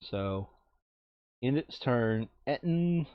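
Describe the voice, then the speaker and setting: A man talks through a tabletop game in a casual, self-directed way, muttering asides as he goes. His voice is of middling pitch and sits very dry and close. The sound is slightly muffled and dull. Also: lively intonation, drawn-out words, clean tone, dry recording. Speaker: tabletop game player and narrator. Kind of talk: casual board game play commentary.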